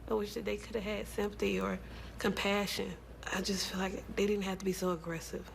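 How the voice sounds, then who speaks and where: A woman gives a personal reaction in an interview. Her voice is creaky and stays very flat, at a middle pitch, with faint noise behind it. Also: brisk.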